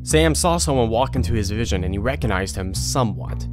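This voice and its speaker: A man's voice: fairly low pitch, expressive, fairly quick. Male narrator over guitar music. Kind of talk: scripted audio drama narration.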